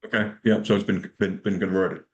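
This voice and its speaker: A man moderating a club technical call in a casual, halting way, often repeating words as he goes. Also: deep voice, steady tone, muffled recording. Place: remote conference call. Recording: conference call audio.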